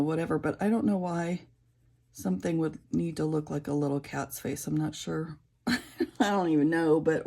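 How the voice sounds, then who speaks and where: A middle-aged woman with a low, raspy voice and a gravelly edge talks while coloring. Her tone is dark and mellow. She rambles casually, with plenty of fillers and restarts. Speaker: coloring channel creator.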